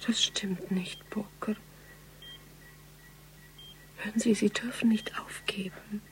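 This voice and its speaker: An actress playing a weary, grieving woman police investigator, brooding in a hushed, intimate German radio crime drama. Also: mid-range voice, steady tone, slow, natural voice. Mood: tearful concern. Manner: hushed, breathy, half-whispered.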